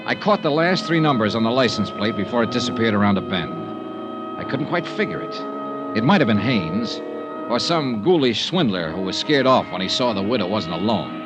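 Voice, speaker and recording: An American man playing a dry, persistent insurance investigator in vintage radio noir style, with measured, matter-of-fact delivery. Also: lowish voice, expressive, over loud music, lightly acted. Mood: brisk narration. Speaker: lead actor and narrator.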